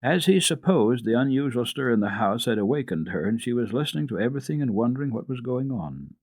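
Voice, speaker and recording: A man narrating a children's classic as a radio storyteller, in a measured, expressive, formal reading. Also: medium pitch, slightly rough, no echo.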